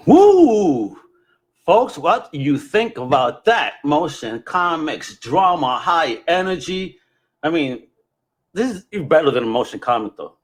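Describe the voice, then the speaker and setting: A man's voice: fairly high pitch, big pitch swings, measured pace. A man hosting a podcast with exuberant, slangy, hype-filled casual chat. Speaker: podcast host.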